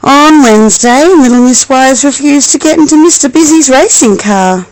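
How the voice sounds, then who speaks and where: A woman reading a storybook aloud as a mother to her young child, expressive and affectionate, with coaxing asides. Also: high-pitched, normal speed, low-quality recording.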